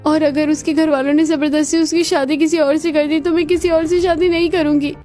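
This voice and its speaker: A woman's voice: high-pitched, little pitch movement, brisk, mellow, faint music behind, lightly acted. A woman voicing a sad, lovelorn young woman in a cartoon story. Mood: sad, worried.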